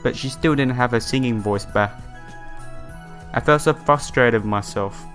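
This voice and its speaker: Guy w a sore throat reading a visual novel out loud, casual comments, music behind him. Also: mid-range voice, normal speed. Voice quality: slightly broken, sore-throated voice. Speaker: let's player reading a visual novel aloud. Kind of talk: casual playthrough, reading game text with side comments. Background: game music.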